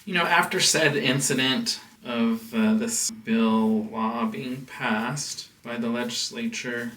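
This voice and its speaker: A man's voice: mid-range voice, slow pace, bright, forceful tone. American man chatting in an echoey room. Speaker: house music producer and DJ hosting a vlog. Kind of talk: casual chat, personal storytelling. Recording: roomy, distant mic with background noise.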